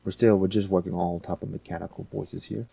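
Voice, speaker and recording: Low, mellow, husky man giving a tutorial. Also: level tone, fast, no echo. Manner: informal, thinking aloud. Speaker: audio editing tutorial host. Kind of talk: casual screen-recorded software tutorial.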